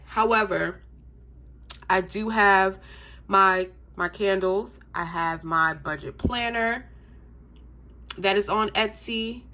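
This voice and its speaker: Congested woman chatting about her budget. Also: on the low side. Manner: chatty, relaxed, frequent ums. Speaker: budgeting YouTuber. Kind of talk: casual to-camera vlog.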